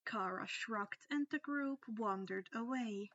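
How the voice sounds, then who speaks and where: A woman's voice: a little high, slow, fairly bright tone, dry recording, highs cut off. A woman reading a scripted fan fiction story aloud as its narrator.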